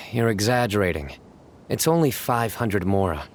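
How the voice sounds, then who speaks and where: An actor playing a composed young male investigator in a video game, measured and formal with dry humour. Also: fairly low pitch, expressive, slight background noise. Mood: calm, amused.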